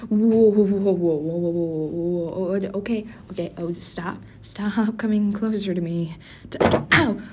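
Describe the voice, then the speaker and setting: A man's voice: steady tone, a little slow. A man playing a prickly, flustered office worker who hides a crush, stammering and grumbling defensively in a tsundere roleplay addressed to the listener. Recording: faint rumbling office and city background noise.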